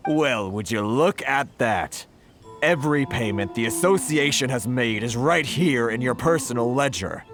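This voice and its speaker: An actor playing a shrewd male merchant in a video game, speaking in a measured, courteous and slyly formal way. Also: medium pitch, lively intonation, music in the background, close to an everyday voice. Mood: smug, probing. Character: shrewd, polite male merchant negotiating firmly.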